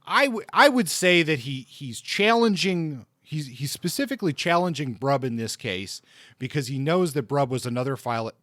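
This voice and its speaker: A man with a mid-pitched voice talks books as a podcast co-host. He speaks casually and works out his points aloud, rewording often. The sound is dry, with very little room in it. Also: fairly bright tone, dry recording. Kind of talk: casual book discussion.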